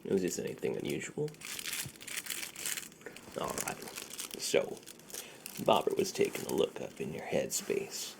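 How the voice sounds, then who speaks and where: Very low, creaky man murmuring slow ASMR roleplay. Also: wildly swooping pitch, noisy background. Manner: slow, soothing, reassuring, with murmured repetitions. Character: friendly shady dealer treating a client's tingle immunity. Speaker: ASMR performer. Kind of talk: ASMR roleplay, soft-spoken and half-whispered. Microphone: close sensitive microphone.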